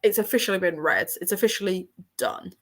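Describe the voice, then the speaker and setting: A woman's voice: mid-range voice, fairly quick. English girl chatting on a book livestream, throat sore, rambles and laughs a lot. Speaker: book channel host. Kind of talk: casual livestream chat. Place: room at her parents' home.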